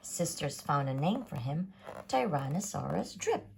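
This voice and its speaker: A woman reading a rhyming children's story aloud in a theatrical, sing-song, expressive way. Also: sits low, a little slow, vocal fry, a little hiss or hum. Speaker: storybook reader.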